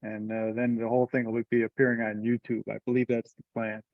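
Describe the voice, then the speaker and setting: A man's voice: mid-range voice, level tone, fairly quick, no echo, narrow, tinny audio. A man leading an informal meeting discussion over conference call audio.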